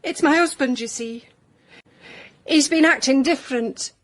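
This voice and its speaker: A woman's voice: high voice, measured pace. A woman playing a genteel, anxious middle-aged wife with a Northern English accent, prim and hesitant, in a comic radio drama. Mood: anxious, confiding.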